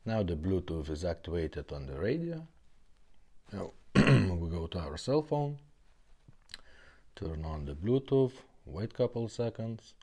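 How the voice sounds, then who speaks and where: A man with a deep, mellow voice presents a casual hands-on demo. His intonation moves around a lot as he gives simple, step-by-step instructions. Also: big pitch swings, slow pace, long pauses. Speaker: product demonstrator.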